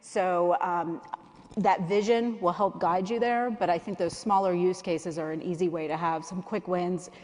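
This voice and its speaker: Low-voiced woman on a conference panel. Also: steady tone, measured pace, a little mellow. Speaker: guest speaker. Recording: long hall reverb.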